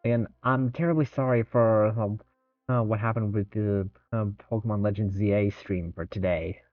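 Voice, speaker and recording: A man's voice: fairly low pitch, fairly even intonation. A man talking casually and hesitantly as a game streamer on a livestream. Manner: halting, hesitant. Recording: dull, roomy lo-fi stream audio. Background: faint game music.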